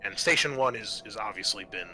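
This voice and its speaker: A man narrating an improvised tabletop game as game master, halting and often pausing mid-sentence. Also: lowish voice, animated, sharp tone, soft background music.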